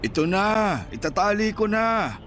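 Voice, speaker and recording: A man's voice: high-pitched, big pitch swings, a little slow, a bit bright, soft background music, exaggerated voice. A man playing a grumbling, humble elderly street vendor and husband in a radio drama. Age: older.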